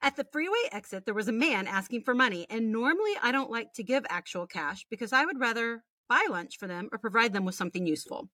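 A woman's voice: a little high, lively intonation, fast, hardly any pauses, bright, forceful tone, no room sound. An American woman co-hosting a podcast, reading listener stories aloud in a casual, sweary way with chatty asides. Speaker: podcast co-host.

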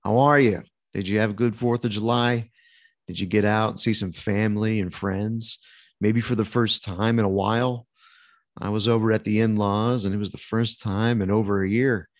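A man's voice: fairly low pitch, fairly even intonation, normal speed, dry recording, studio quality. A man hosting a podcast, chatting in a friendly, conversational way and then starting an interview. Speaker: podcast host.

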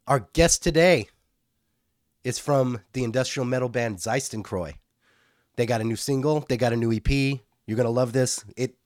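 A man's voice: mid-range voice, dry recording. Laid-back male co-host, dry sound. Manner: laid-back, casual, mild cursing. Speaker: podcast co-host. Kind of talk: casual podcast chat.

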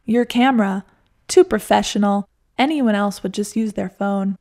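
An eager, chatty young man met on a trip, friendly and keen to talk, quoted by a woman narrating her story. The voice stays mellow and a little gravelly. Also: high-pitched. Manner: chatty, friendly, quick to laugh.

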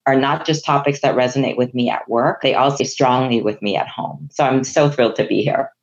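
A woman from Hong Kong whose first language is Chinese, a guest answering interview questions in a measured, businesslike way. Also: extremely low pitch, lo-fi sound. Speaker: guest, HR executive.

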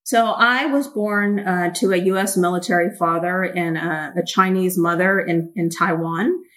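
A woman's voice: lowish voice, unhurried. A Mandarin-woman, candidly telling her life story as an interview guest. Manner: candid, blunt, energetic. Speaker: guest, company founder.

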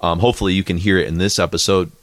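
A man with a very low voice co-hosts a casual podcast. He rambles, with plenty of fillers and restarts. The sound is dry and close on the mic. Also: steady tone, very fast, a bit bright, no echo. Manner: rambling, lots of filler words. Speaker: podcast co-host. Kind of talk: casual chat. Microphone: studio podcast mic.

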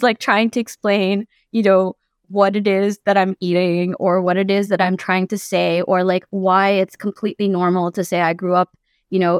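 Animated woman asking questions. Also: mid-range voice, no room sound, clean studio sound. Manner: animated, acts out quoted reactions. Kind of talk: casual group conversation.

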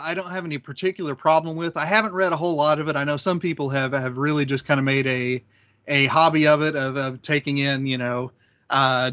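A man talking casually as a podcast panelist, reflective and halting, with a wry streak. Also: a little high, pushed and bright, no room sound, dull audio. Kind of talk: casual discussion of old radio drama.

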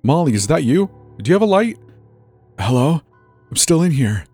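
Man acting a frightened young man calling out, pitch raised. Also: big pitch swings, fast, warm tone, husky, no echo, faint music behind, exaggerated voice. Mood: alarmed, shouting. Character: frightened young male camp kitchen worker, shouting, defensive. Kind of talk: dramatized horror story narration.

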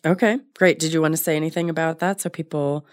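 A woman hosting a podcast, asking questions and affirming her guest warmly. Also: low voice, fairly even intonation, quick pace, no echo, clean studio sound. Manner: encouraging, affirming interviewer. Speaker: podcast host. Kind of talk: podcast interview.

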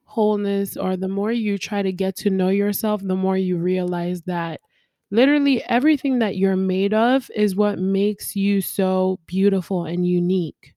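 A woman's voice: mid-range voice, steady tone, normal speed, warm tone, no echo. Reflective young woman, dry close sound. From Congo and South Africa. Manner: reflective, talks in long flowing thoughts. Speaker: podcast co-host. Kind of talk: casual conversation.

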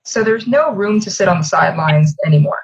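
A woman's voice: lowish voice, animated, fairly quick, breathy edge, muffled recording. A woman giving articulate, emphatic interview answers in polished corporate phrasing over a video call. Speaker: communications executive, interview guest.

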